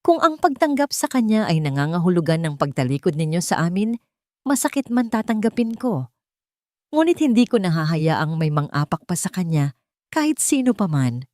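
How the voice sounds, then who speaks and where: A woman's voice: lowish voice, very animated, normal speed, darkish tone, no echo, studio quality. A woman voicing a resolute husband and father in a drama story, speaking formally, with respect and firmness. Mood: respectful but firm.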